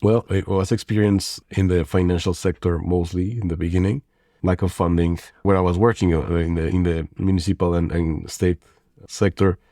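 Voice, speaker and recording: Podcast guest: forties, from Mexico, low deep voice, reflective and loose, dry close mic. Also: male voice, steady tone, normal speed, dark tone, no echo. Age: 40s. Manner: thoughtful, rambling with frequent restarts and fillers. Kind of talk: conversational interview.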